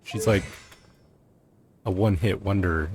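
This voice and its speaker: A man giving casual gameplay commentary, low-key and hesitant, thinking out loud as he plays. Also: a little slow, a little hiss or hum. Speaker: gaming streamer. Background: faint game music.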